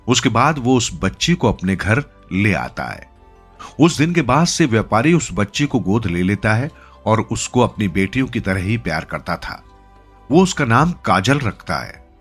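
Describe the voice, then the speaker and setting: A man narrating a scripted moral story as a storyteller, in an expressive manner. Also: on the low side, slightly rough. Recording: music behind the voice. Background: background music.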